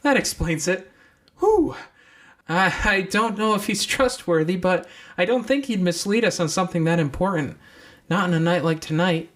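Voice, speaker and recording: A man voicing an excitable young girl in a deer mask for an audiobook, speaking in an urgent, conversational way. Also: high-pitched, normal speed, pitched above the performer's own voice. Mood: light, relieved.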